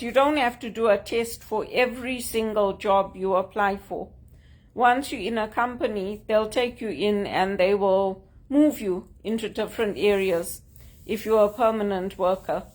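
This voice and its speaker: A woman from Zimbabwe with a Zimbabwean accent, talking to camera in an earnest, measured way about job hunting. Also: mid-range voice. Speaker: job seeker sharing tips. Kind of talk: casual talk to camera.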